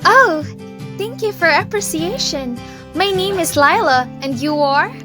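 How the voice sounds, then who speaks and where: Actress as young maiden in love, polite, very high voice, amateur radio drama, background music. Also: female voice, expressive, measured pace. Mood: flattered.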